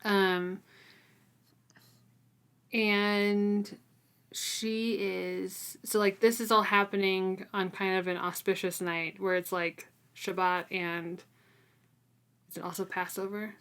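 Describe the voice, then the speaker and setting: A woman's voice: medium pitch, little pitch movement, slow, long pauses, a bit bright, clear, resonant tone. A woman co-hosting a book podcast, chatting casually and informally with playful asides as she recaps a story. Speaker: co-host of a book read-along podcast. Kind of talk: casual chat, recapping a novel.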